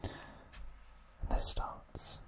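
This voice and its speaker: Man, breathy whisper, very slow pace, hushed deliberate delivery, ASMR host. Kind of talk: whispered ASMR trivia narration.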